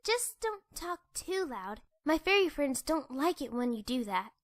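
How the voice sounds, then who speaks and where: A woman voicing a kind, whimsical little girl pony, gentle and earnest, in a cartoon-style comic dub. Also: high voice, sing-song, unhurried, no echo, clean studio sound. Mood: gentle, friendly.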